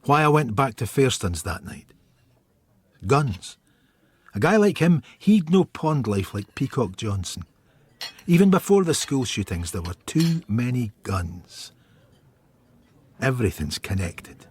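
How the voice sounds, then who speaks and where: An actor playing a weary, sardonic middle-aged police inspector in a radio drama, with a gruff manner of speaking. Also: male voice, medium pitch, big pitch swings, a little slow, pauses often, a little mellow. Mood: brooding.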